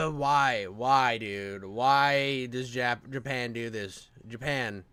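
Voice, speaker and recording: A man's voice: mid-range voice, gravelly, fairly bright tone, smooth. A man giving casual reaction commentary in a sarcastic, exasperated, crude and slangy way. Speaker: reaction video host.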